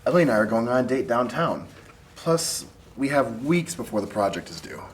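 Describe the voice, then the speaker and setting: A man's voice: mid-range voice, lively intonation, moderate pace, natural voice. A man playing an awkward, lovestruck college student in a table read of a drama script. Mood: upbeat, evasive. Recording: faint noise, amateur room recording.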